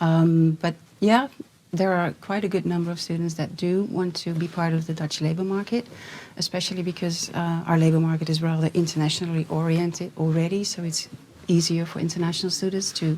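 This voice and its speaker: Low, mellow-voiced woman explaining. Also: level tone, fast talker, few pauses, a little hiss or hum, rough recording. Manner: explanatory, friendly, corrects herself. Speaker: student support staff. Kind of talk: informative panel chat.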